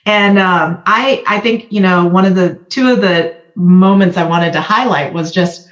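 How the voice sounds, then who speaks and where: A woman's voice: fairly low pitch, unhurried. Woman from Vietnam, guest storyteller, dull lo-fi sound with roomy echo. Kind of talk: candid conversational discussion.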